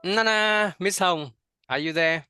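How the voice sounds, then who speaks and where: Young Vietnamese-accented male teacher trainer on a video call; dry close sound, halting delivery with frequent "you know". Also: sits high, sharp tone, clear, resonant tone, dry recording, soft background music. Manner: many fillers and repeated words, checks in with "right?". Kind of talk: online workshop presentation.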